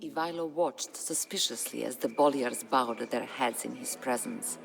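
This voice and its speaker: An actor narrating a historical game campaign as a solemn storyteller, with grave, measured, dramatic delivery. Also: medium pitch, vocal fry, lightly acted. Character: solemn storyteller chronicling a medieval Bulgarian uprising. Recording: music under the voice. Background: background music.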